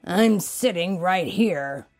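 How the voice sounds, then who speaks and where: A woman's voice: on the low side, lively intonation, takes it slowly, a little mellow, soft background music. A woman reading aloud and voicing a grumpy veteran male police sergeant who grumbles curtly. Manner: grumbling, curt.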